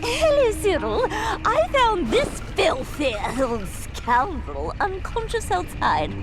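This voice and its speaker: An actress playing a haughty, hot-tempered, vengeful villainess in a video game cartoon, shrill and melodramatic. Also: female voice, wildly swooping pitch, takes it slowly, over music, heavily acted, poor audio. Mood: eager. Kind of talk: animated video game cutscene acting.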